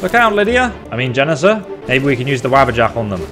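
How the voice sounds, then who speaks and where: A man's voice: mid-range voice, big pitch swings, fast, music in the background. A man giving casual, playful game playthrough commentary as a video host, chatting and reacting aloud as he goes.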